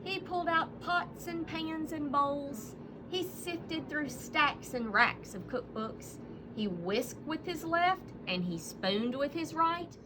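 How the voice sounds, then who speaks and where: A woman reading a children's picture book aloud as a storyteller, with expressive, dramatic delivery and drawn-out emphasis. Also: high-pitched, background noise.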